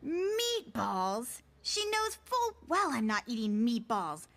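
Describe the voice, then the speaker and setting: A woman playing an excitable, sarcastic teenage girl in a comic drama, with a whiny delivery. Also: fairly high pitch, slow pace, heavily acted. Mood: sulky, sarcastic. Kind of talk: motion comic voice acting. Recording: voice over very loud music.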